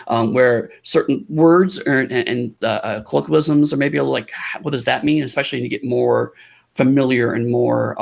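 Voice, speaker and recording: A man with a gravelly edge to his voice chats as a podcast guest. He rambles and halts, circling back over his words with plenty of fillers, and his pitch sits in the middle range. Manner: halting, rambling, many restarts and fillers. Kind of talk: casual chat.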